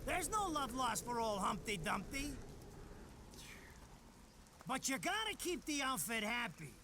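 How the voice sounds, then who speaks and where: A man's voice: extremely high pitch, bright, forceful tone, slight background noise, exaggerated voice. An actor playing a squat, nervous, foul-mouthed wiseguy henchman in a video game, wisecracking and whiny. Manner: wisecracking, whiny, profane, slangy. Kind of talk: animated video game cutscene acting.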